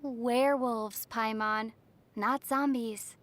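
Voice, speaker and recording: A woman voicing a young traveler heroine in a video game, gentle and wry with a soft, teasing manner. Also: a little high, steady tone, takes it slowly.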